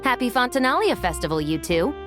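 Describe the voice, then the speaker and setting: A woman's voice: on the high side, very animated, a little fast, fairly bright tone, faint music behind. An actress playing a confident, friendly young policewoman in a game, casual and breezy. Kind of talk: game cutscene voice acting.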